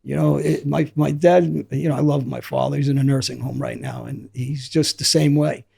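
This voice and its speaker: Middle-aged New man, hoarse and gravelly, dark and husky, rambling candid storyteller. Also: mid-range voice, steady tone, moderate pace. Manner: rambling, repeats words and restarts often. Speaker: interview guest.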